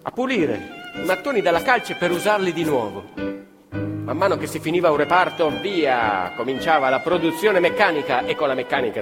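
A man narrating on stage in a declamatory, ironic storyteller's manner, recorded live. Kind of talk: theatrical narration. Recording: live theatre recording with long reverb, violin and live strings behind. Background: live violin, piano and double bass.

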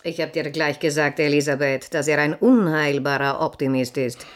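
A woman's voice: low-pitched, normal speed, lightly acted. An actress playing a haughty, dismissive woman who talks in clipped lines. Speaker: actor in radio drama. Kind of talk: radio drama acting.

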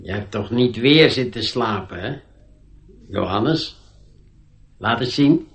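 Gravelly, grumbling schoolmaster. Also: male voice, lowish voice, lively intonation, slow pace, fairly bright tone, full character voice. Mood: mildly exasperated. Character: grumbling but lenient male schoolmaster. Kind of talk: radio drama acting.

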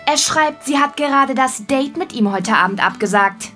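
Highish, scheming soap-opera confidante. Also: female voice, animated, normal speed, pushed and bright, soft background music. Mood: conspiratorial. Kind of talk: melodramatic soap acting in radio drama.

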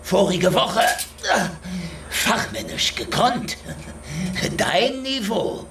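An actor playing a battered, defiant noir investigator in a German radio drama, terse, dry and taunting. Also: male voice, high-pitched, big pitch swings, very slow, gravelly, a bit bright, roomy sound, slight background noise, exaggerated voice.

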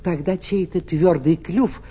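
Slow, mellow male storyteller. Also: high-pitched, lo-fi sound. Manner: expressive, deliberate phrasing with pauses. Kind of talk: scripted children's fairy tale narration.

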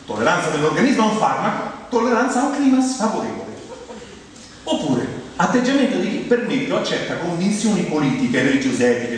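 A man from Rome with a Roman accent, performing a humorous theatrical monologue in a conversational, emphatic manner. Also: high-pitched. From Rome, Italy. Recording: echoey, distant, faint noise.

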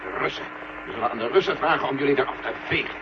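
Actor as blunt general, muffled humming recording. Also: male voice, medium pitch, racing, background noise, lightly acted. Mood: threatening. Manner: blunt, commanding, threatening. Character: gruff, exasperated American general at Mission Control. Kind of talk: 1970 Dutch radio drama acting.

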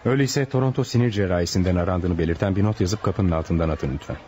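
Quick, mellow-voiced doctor, played by a man. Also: low-pitched, slight background noise, lightly acted. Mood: businesslike. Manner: courteous, businesslike. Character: calm, helpful male hospital doctor. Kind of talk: radio drama acting. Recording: dull lo-fi radio recording, faint music.

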